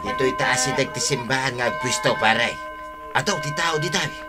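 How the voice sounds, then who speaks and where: A man playing a sly, excitable swindler in a radio drama, with an Ilocano Filipino accent and a protesting manner. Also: medium pitch, moderate pace, over loud music, close to an everyday voice. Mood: businesslike. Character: sly male swindler, excitable partner in crime.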